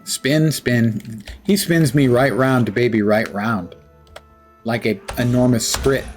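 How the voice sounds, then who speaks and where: Brief: casual male streamer, mid-pitched, wry self-mocking chatter, faint music behind. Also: takes it slowly. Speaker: livestreamer.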